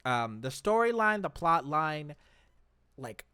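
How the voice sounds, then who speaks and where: A man's voice: fairly high pitch, big pitch swings, slow pace, bright, forceful tone, clean tone, no room sound, studio quality. A man co-hosting a casual chat show, talking enthusiastically and emphatically about his favourites. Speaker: podcast co-host.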